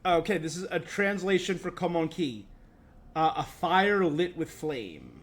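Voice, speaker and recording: A man's voice: fairly high pitch, big pitch swings, a little slow. A man livestreaming a game with casual commentary on its lore, thinking aloud as he goes.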